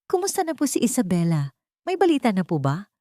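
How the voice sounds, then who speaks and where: A devoted young Filipino man , acted out by a woman narrator pleads tenderly with his friend. He sounds anxious and gentle, in a story reading with a dry, close sound. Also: mid-range voice, wildly swooping pitch, fairly quick, dry recording, pitched above the performer's own voice. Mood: worried. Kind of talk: storybook dramatic dialogue.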